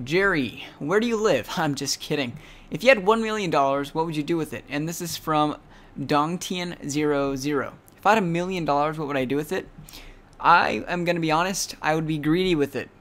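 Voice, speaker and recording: A man's voice: fairly high pitch, fairly bright tone. Chatty young man rambling through viewer questions. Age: young adult. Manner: rambling, upbeat, heavy use of "you know" and "like". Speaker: vlogger answering viewer questions. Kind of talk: casual vlog Q&A.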